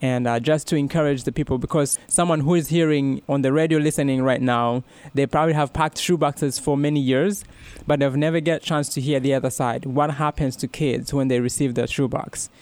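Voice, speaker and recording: Earnest man with a smooth voice. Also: medium pitch, fairly even intonation, fairly quick, hardly any pauses, darkish tone, no echo. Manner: earnest, heartfelt, with frequent restarts. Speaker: radio guest, ministry worker. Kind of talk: personal testimony in a radio interview.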